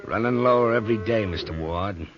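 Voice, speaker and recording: An actor playing a surly, threatening crooked ranch straw boss in an old-time radio western. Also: male voice, lowish voice, brisk, noisy background, lightly acted. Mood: sullen. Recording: muffled old radio recording.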